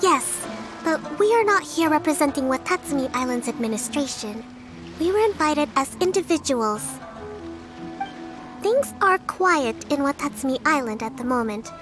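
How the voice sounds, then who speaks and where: A woman voicing a gentle, bookish young priestess who leads, speaking politely and earnestly. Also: high-pitched, sing-song, normal speed, over music.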